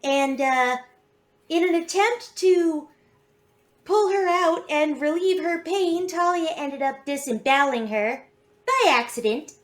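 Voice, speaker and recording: Woman, high voice, tabletop player, casual recap, hesitant. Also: lively intonation, slow pace.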